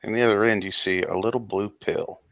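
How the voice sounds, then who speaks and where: A man running a tabletop game as game master, describing scenes in a plain, measured way. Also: fairly low pitch, fairly even intonation, fairly bright tone, no room sound, low-quality recording.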